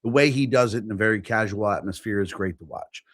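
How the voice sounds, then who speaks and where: A man's voice: on the low side, fast talker, a bit bright, no room sound, clean studio sound. A man from Philadelphia, a guest on a talk show, giving his opinions frankly and with emphasis. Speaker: podcast guest. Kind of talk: casual political chat.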